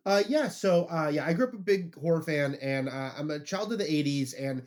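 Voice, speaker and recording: A man's voice: a little high, brisk, darkish tone. A man in his forties with a Valley accent, a podcast guest talking in casual chat, nasal and congested from a cold. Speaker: podcast guest, entertainment journalist.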